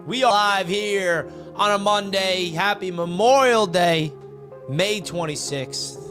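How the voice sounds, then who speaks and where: A young man hosting a live sports talk stream, his voice raspy and worn from yelling, talking in a hyped, casual way and often tossing in "man.". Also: sits high, slow. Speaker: sports podcast host. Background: soft background music.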